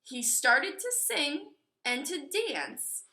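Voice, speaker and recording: A woman reading a children's storybook aloud in an expressive, teacherly way, with explanatory asides. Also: high voice, extremely slow. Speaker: storybook reader. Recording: echoey room.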